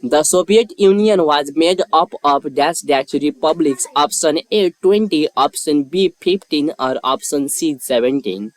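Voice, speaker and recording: A quiz narrator reading scripted questions and answer options aloud in a formal, measured way. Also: medium pitch, no echo, low-quality recording.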